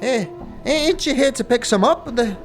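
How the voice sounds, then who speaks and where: A batty seamstress in a fantasy audio drama, high-voiced and muddled. She mutters in rustic, broken grammar, repeating words and answering her own questions. Her pitch leaps about wildly, with music softly underneath. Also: expressive, moderate pace. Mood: muddled, muttering. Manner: muttering repetitions, rustic ungrammatical speech, questioning herself. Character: batty, muddled seamstress who talks to herself and pulls corpse stitches.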